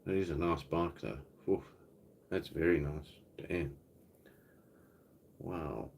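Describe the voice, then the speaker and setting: Deep-voiced South African man chatting. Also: slow, gravelly, slight background noise. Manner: relaxed and rambling, reads out chat comments. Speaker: livestream host. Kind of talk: casual live Q&A chat. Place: home, indoors.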